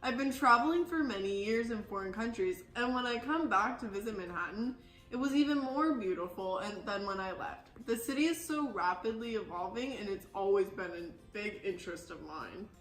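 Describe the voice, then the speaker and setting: A woman's voice: medium pitch, lively intonation, normal speed, a bit bright, close to an everyday voice. A woman playing an earnest, well-travelled male landscape artist in a scripted interview. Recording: echoey room with music underneath.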